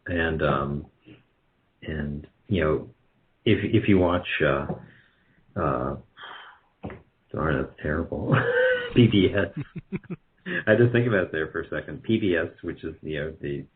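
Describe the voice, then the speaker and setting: A man speaking casually as an interview guest, halting and rambling as he corrects himself. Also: very deep voice. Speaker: guest, radio drama producer. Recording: dull lo-fi call audio.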